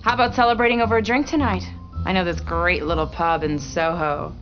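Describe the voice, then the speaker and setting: A woman's voice: fairly low pitch, steady tone, brisk, dull audio, over music, natural voice. An actress playing a flirtatious, cocky female army officer with a casual, teasing way of talking. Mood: flirty, playful.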